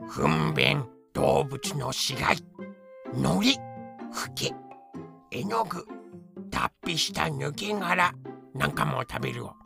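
A woman's voice: fairly low pitch, creaky, full character voice. A woman voicing a cheeky, taunting young male cockroach with a cutesy verbal tic in a comedic audio drama.

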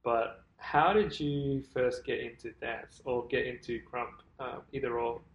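A man's voice: medium pitch, fairly even intonation, unhurried, muffled recording. A man hosting a podcast, laid-back and slangy as he asks his guest questions. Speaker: podcast host. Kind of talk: casual interview chat. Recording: roomy echo.

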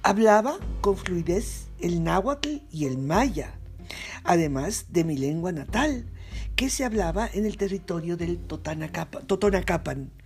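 A woman's voice: lowish voice, lively intonation, darkish tone, faint music behind. A woman reading as a noble-born interpreter. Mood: solemn. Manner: measured first-person reading.

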